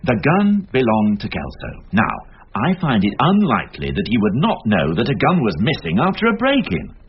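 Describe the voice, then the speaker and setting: A man's voice: medium pitch, huge pitch swings, moderate pace. An actor playing an insistent senior British detective who thinks aloud and argues his theories emphatically in a radio drama. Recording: dull, hissy radio drama recording with clicks.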